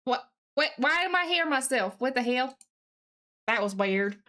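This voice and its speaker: A woman livestreamer chatting casually and reading aloud in an exaggerated, put-on deep Southern US accent, with a playful, folksy manner. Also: expressive, moderate pace, sharp tone. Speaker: live streamer.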